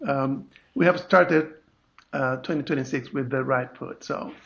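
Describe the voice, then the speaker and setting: A man's voice: mid-range voice, a little slow, gravelly, fairly bright tone, lo-fi sound, a little hiss or hum. A middle-aged man from Venezuela with a Venezuelan Spanish accent, chatting casually as an interview guest. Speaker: podcast guest, compensation and benefits consultant.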